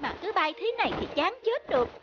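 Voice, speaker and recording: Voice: very high voice, very animated, brisk, highs cut off, noisy background. An actor voicing a mischievous, playful young frog in a children's cartoon fable, teasing and exclaiming. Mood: bored, scheming. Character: mischievous, cheeky young frog who loves playing pranks.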